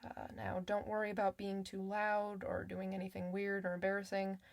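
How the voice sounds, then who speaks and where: A woman playing a kind clinician in an ASMR medical roleplay, soft-spoken, reassuring and chatty with the patient. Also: mid-range voice, completely flat delivery, moderate pace, gravelly, close to an everyday voice. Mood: calm, reassuring.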